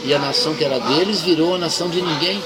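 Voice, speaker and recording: A man's voice: fairly high pitch, rapid-fire. A man in a casual, reflective and opinionated political discussion. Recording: very loud music and vehicle noise.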